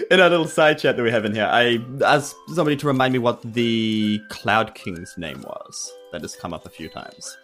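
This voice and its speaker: A man's voice: medium pitch, normal speed, fairly bright tone, faint music behind. A man talking casually and playfully as a player in a tabletop role-playing game.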